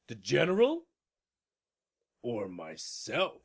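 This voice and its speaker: Pompous, gravelly old king. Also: mid-range voice, huge pitch swings, slow pace, exaggerated voice. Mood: imperious. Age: older. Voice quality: gravelly, raspy. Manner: grandiose, declaiming, with hesitations and sudden shouts. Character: pompous, lonely old king ruling a tiny planet. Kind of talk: theatrical radio drama acting.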